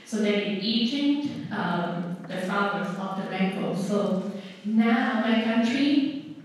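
A woman's voice: medium pitch, slow. A young woman with a Thai accent on a conference panel, chatting in a friendly, hesitant way with many fillers, holding a handheld mic. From Thailand. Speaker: panelist, hydrologist. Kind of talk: staged panel chat. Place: large conference hall on stage. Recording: echoey hall from far off.

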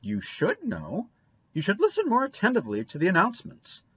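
A prying, scolding older woman of a storybook, put on by a male reader, questions and admonishes in a raised, swooping pitch. The recording is dull and dry. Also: wildly swooping pitch, bright, forceful tone, husky, dry recording, exaggerated voice. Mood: stern, quizzing. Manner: brisk interrogating, admonishing. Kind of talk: storybook character reading.

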